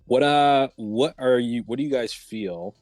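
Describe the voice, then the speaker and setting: A man's voice: medium pitch, a little slow, darkish tone, no echo, studio quality, faint music behind. A man hosting a casual round-table chat about movies and games, speaking in an enthusiastic, opinionated way. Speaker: host of round-table discussion.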